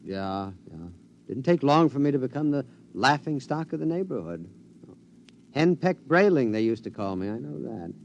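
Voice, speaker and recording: An actor playing a middle-aged henpecked husband, excitable and stammering with giggles, in a 1950s radio drama. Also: male voice, mid-range voice, normal speed, vocal fry, dull audio, slight background noise. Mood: gleeful.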